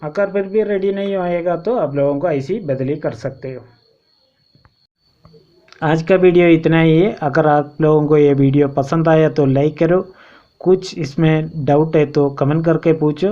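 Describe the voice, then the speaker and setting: A man giving an informal step-by-step repair tutorial, explaining in a halting, repetitive way. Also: on the high side, mellow. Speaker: phone repair instructor and channel host. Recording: dull lo-fi home recording.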